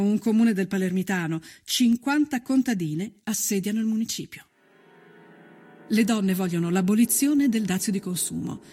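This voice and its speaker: A woman narrating a scripted historical documentary with solemn, deliberate, measured phrasing. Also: a little high, darkish tone.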